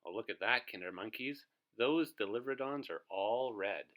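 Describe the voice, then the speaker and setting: A man's voice: fairly low pitch, animated, normal speed, a bit bright. A man reading a children's storybook aloud as host, expressively, putting questions to his young listeners.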